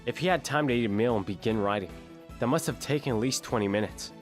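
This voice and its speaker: A man's voice: mid-range voice, fast talker, natural voice. A man playing an earnest, dogged defense lawyer in an audio drama, questioning and a little sheepish. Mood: probing. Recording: music behind the voice.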